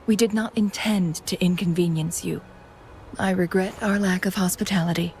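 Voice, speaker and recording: A woman's voice: on the low side, steady tone, moderate pace, a little mellow, a little hiss or hum, lightly acted. A woman playing a gracious, composed, subtly teasing strategist in a video game, speaking formally and politely. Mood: gracious, soft.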